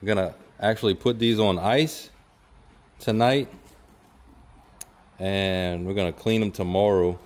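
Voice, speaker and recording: A middle-aged man talks in a relaxed, plainspoken way, telling the day's story outdoors. His voice sits a little low. It is caught on a handheld camera held at arm's length. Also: unhurried, long pauses. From Louisiana. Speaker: outdoors vlogger. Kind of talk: casual outdoor vlog. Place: outdoors, lakeside dock and open shed.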